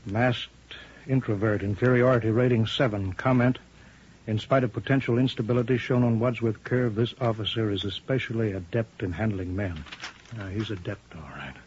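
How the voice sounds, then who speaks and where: An actor playing a cool, commanding male chief in a 1950s radio drama, speaking deliberately and with authority. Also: on the low side, slight background noise, natural voice. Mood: grave. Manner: authoritative, calmly needling, deliberate. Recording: dull muffled 1950 radio broadcast.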